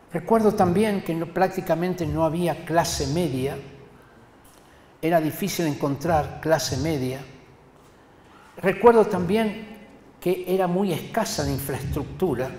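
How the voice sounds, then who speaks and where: An older man from Argentina, preaching a sermon in an emphatic, deliberate manner and addressing his listeners directly. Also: fairly high pitch, a little mellow. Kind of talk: sermon to a congregation. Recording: echoey hall with long reverb.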